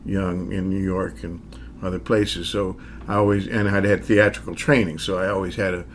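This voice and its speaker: A lowish-voiced man in his sixties tells stories about his career as a magician. His speech is hesitant and reflective, full of pauses and fillers, with occasional chuckles. Faint background noise sits under the conversation. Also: level tone. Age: 60s. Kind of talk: relaxed storytelling in an interview.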